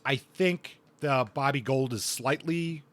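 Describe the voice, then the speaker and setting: A man hosting a casual book review podcast, speaking in a thoughtful, halting way with repeated words. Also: mid-range voice, a bit bright.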